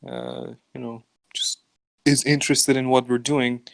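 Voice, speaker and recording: A man's voice: medium pitch, little pitch movement, a little slow, vocal fry, fairly bright tone, no room sound. A man co-hosting a casual podcast, joking around in a mock-grumpy way and swearing casually. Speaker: podcast co-host.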